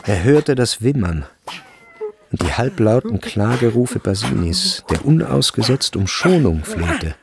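A man's voice: medium pitch, big pitch swings, measured pace, vocal fry, over a noisy crowd. A man reading scripted literary narration in a radio drama.

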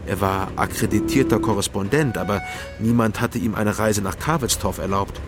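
Actor as low-voiced, wry East Berlin private detective. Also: male voice, normal speed, over music, natural voice. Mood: measured narrating. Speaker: narrator and lead detective. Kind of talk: first-person crime radio drama narration.

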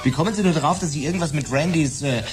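An actor playing a vain, squeamish image stylist in a radio play, pompous, affected and hesitant. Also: male voice, on the high side, rapid-fire, close to an everyday voice. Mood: prissy indignation. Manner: pompous, prissy, hesitant, indignant. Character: vain, squeamish, affected male pop stylist and self-declared artist. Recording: music behind the voice.

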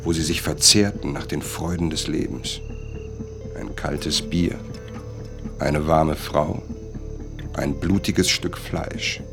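An actor playing a brooding, world-weary narrator in a radio play, speaking deliberately and dramatically in a gravelly voice. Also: male voice, a bass voice, steady tone, full character voice. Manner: deliberate, dramatic, short phrases. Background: music.

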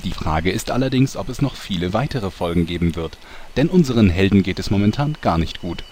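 A man's voice: low-pitched, expressive, brisk, darkish tone. A man playing the knowing storyteller of a fantasy soap, reading scripted mock-dramatic narration. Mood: mock-solemn. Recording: clearly noisy amateur recording.

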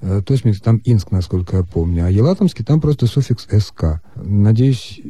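Man, radio language expert, measured lecturing tone, very mellow timbre, dry studio. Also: lowish voice, lively intonation, husky, no echo. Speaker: language expert answering listeners. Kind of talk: explaining grammar on a radio call-in.

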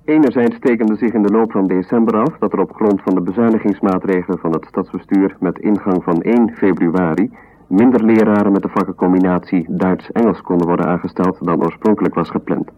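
Deep-voiced official, detached, dull sound. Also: male voice, fairly even intonation. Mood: formal, detached. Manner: formal, measured, detached. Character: impersonal bureaucratic reader of an official ruling. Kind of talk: formal reading of an official document. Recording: dull 1970s radio recording.

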